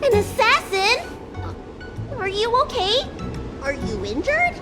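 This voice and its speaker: High, pouty little dragon girl. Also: wildly swooping pitch, slow, heavily acted. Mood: alarmed. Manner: childlike, pouty, frank. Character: small, blunt, curious young dragon girl. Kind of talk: video game voice acting. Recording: game music behind the voice.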